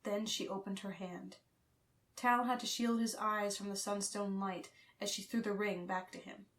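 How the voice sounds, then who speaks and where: A woman's voice: mid-range voice, steady tone, normal speed, a bit bright. A woman reading fiction as an audiobook narrator, in a scripted, dramatic storytelling style.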